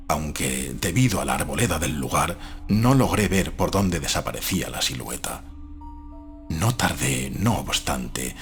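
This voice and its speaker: A man reading gothic fiction as an audiobook narrator, in a scripted, dramatic style. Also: low-pitched. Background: faint music.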